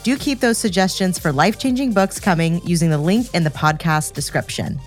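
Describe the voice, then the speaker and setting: A woman co-hosting a podcast, mixing scripted presenting with casual, expressive conversation. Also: fairly low pitch, brisk, faint music behind. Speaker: podcast co-host.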